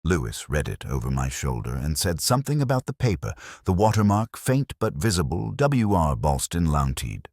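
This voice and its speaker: Guy narrating a detective story, super deep voice, lively intonation, measured and literary. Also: no echo, clean studio sound. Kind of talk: scripted first-person detective story narration.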